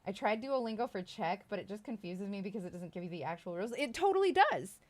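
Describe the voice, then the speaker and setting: A woman's voice: medium pitch, big pitch swings, fast talker. A woman in her thirties from Iowa, chatting casually with livestream viewers in a rambling, self-deprecating way, swearing and laughing often. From Iowa, United States.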